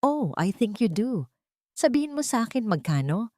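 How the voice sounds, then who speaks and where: A woman's voice: fairly high pitch, very animated, moderate pace, mellow, voice pushed up. A woman voicing a haughty, wealthy older matriarch in a storybook drama, cold, contemptuous and commanding. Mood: sharp contempt.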